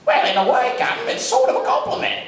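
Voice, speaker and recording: A man's voice: extremely high pitch, animated, fast, a bit bright, a little hiss or hum, heavily acted. A man playing a dim, timid, fawning pirate first mate in an old-time radio play. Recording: very echoey hall, distant microphone.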